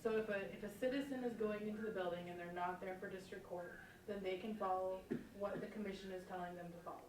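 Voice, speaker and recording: A quiet-voiced woman is heard at a distance in an echoey room with clear background noise. She explains things at a public meeting in a hesitant, apologetic way. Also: medium pitch, fairly even intonation, fast talker.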